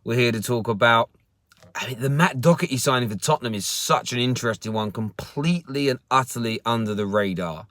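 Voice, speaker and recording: A man's voice: mid-range voice, fairly quick, a bit bright, no echo. A young man hosting a football talk stream from his parked car, chatting casually, often repeating words and restarting sentences as he answers viewer comments. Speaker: football channel host. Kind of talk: casual live stream chat.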